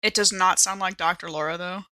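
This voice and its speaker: A woman's voice: on the low side, steady tone, a little fast, pushed and bright, no room sound. A woman playing a tabletop role-playing game, chatting casually about her character's moves.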